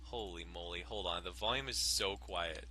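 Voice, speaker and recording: A man's voice: low voice, normal speed, sharp tone, a little hiss or hum. A man hosting a let's play, reading game text aloud and cracking crude jokes between lines. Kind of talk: casual gameplay commentary and reading aloud.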